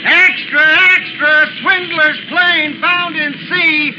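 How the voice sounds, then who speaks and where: An actor playing a loud street newsboy hawking papers in a 1930s radio drama. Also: male voice, very high-pitched, steady tone, slow. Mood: urgent hawking. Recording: muffled 1930s radio recording under loud music.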